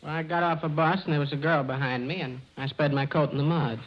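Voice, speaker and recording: A man as a deadpan, slangy radio wisecracker, muffled. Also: a little high, quick pace, close to an everyday voice. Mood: deadpan, blunt. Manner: blunt, deadpan. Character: gruff, slangy, wisecracking man who resists lessons in charm. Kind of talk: 1940s radio comedy acting. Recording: muffled, noisy old radio recording.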